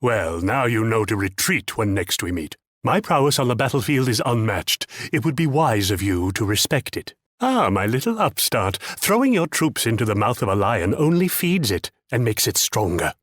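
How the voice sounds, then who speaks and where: A pompous, shrewd military leader from a strategy game. His medium-pitched voice rises and falls theatrically as he talks down to his listener like a condescending mentor and rival. The sound is dry, with no room around it. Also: sing-song, normal speed, few pauses, a bit bright, no echo. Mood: condescending advice. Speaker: game character voice actor. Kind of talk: scripted strategy game character lines.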